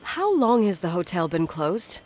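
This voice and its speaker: A woman's voice: on the low side, very animated, unhurried, a little mellow, slight background noise. An actress playing a stubborn, inquisitive young woman in naturalistic game dialogue, suspicious and uneasy, probing earnestly with some hesitation.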